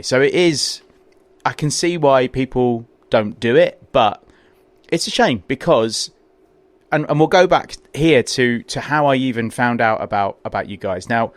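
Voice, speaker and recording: A man's voice: mid-range voice, a little slow, no echo. A man from London with a London accent, hosting a podcast interview in a small brewery. He talks with enthusiasm, often repeats words as he starts a thought, and is recorded close and dry. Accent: London English. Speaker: podcast host. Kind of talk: casual interview chat.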